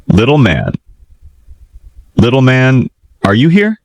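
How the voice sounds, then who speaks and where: A man's voice: mid-range voice, wildly swooping pitch, takes it slowly, no room sound, dull audio, voice pushed up, exaggerated voice. A man voicing an earnest, desperate young girl who pleads and exclaims in a fairytale storybook. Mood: pleading, worried.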